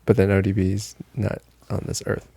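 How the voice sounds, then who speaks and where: A man's voice: fairly low pitch, little pitch movement, moderate pace, vocal fry, mellow. A young man co-hosting a podcast, joking and swearing in playful banter. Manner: joking, profane, playful rhymes. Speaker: podcast co-host. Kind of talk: casual banter.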